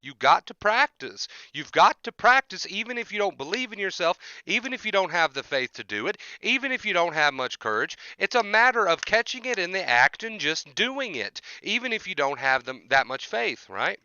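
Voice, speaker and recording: Forceful, bright-voiced man on a livestream. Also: sits high, big pitch swings, moderate pace, few pauses, clean tone, no echo, muffled recording. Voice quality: voice tiring from overuse. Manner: emphatic, repetitive, often checks with "right?". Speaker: livestream host, anxiety recovery coach. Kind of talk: motivational rant to live chat.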